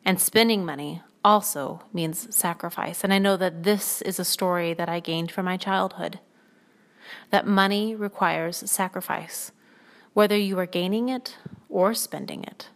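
Soft soothing woman doing a solo podcast, bit low voiced, lots of thoughtful pauses. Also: fairly even intonation, creaky. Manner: thoughtful, pausing often with ums. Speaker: podcast host. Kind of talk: reflective spoken monologue.

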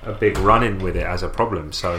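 A young man co-hosting a podcast, thinking aloud with many restarts and pauses. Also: deep voice, background noise. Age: young adult. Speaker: podcast co-host. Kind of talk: casual chat.

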